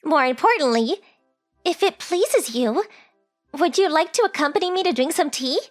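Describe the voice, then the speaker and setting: A woman's voice: high voice, animated, moderate pace, no room sound, soft background music. A woman voicing a polite, shy teenage rich girl in an anime-style dub, with very formal, hesitant phrasing. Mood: hopeful.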